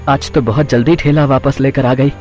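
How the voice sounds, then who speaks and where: A man playing a gentle, approving husband in a cartoon story. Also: mid-range voice, steady tone, moderate pace, soft background music, pitched below the performer's own voice, lightly acted. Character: approving husband of a food-cart woman. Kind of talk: Hindi moral-story cartoon voice acting.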